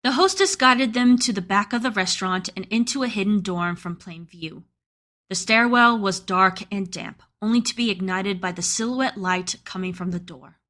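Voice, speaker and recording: A woman's voice: mid-range voice, normal speed, bright, forceful tone. A woman reading scripted story narration for a radio play. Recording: music playing behind the voice.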